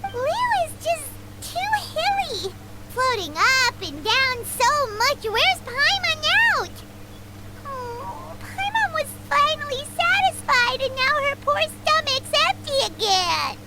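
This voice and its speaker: A woman voicing a tiny, chirpy, food-loving fairy companion with a squeaky childlike voice in a video game. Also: very animated, takes it slowly, very noisy, full character voice. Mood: whiny, worn out. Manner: speaks of herself in third person, exclamatory. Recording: loud game music underneath.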